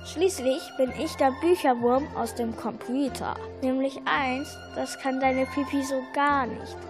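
A woman's voice: high-pitched, steady tone, unhurried, music in the background. A woman playing a self-important, orderly, all-knowing computer bookworm in a children's radio play.

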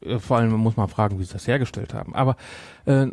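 A man's voice: lowish voice, fast, darkish tone, dry recording. Casual male podcaster with a dry, close sound. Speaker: podcast co-host. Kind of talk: casual chat.

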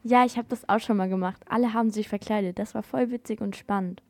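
A school-age female reporter with a soft, dark tone at a middle pitch. She explains things in a scripted radio dialogue. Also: fairly even intonation, fast.

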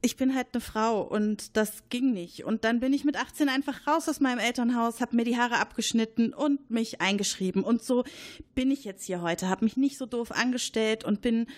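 A woman's voice: a little high, moderate pace, natural voice. A woman playing a middle-aged colonel who is secretly a woman passing as a man, talking hesitantly and evasively in an improvised roleplay. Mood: confiding. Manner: hesitant, evasive, then confiding in hushed tones. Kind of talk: improvised murder-mystery roleplay.

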